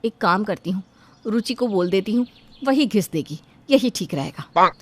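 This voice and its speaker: A woman's voice: medium pitch, lively intonation, moderate pace, natural voice. A woman voicing a haughty, bickering elder daughter-in-law in a household story, boastful and theatrical. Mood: scheming, fretful. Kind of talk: animated Hindi moral story dubbing.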